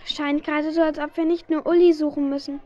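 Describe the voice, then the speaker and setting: A woman's voice: high voice, level tone, brisk, warm tone, natural voice. A woman playing a cautious, doubting teenage girl in a children's radio play, hesitant and questioning. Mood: hopeful. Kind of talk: 1970s German children's radio play acting. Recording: dull, muffled old audio drama recording.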